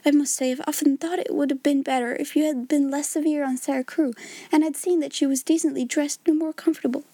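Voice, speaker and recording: Woman acting high-pitched timid sister breaking into hysterics. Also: fairly even intonation, fast talker, dark tone, dry recording. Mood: timid, recklessly brave. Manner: breathless, emotional outpouring. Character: timid middle-aged sister in hysterical rebellion.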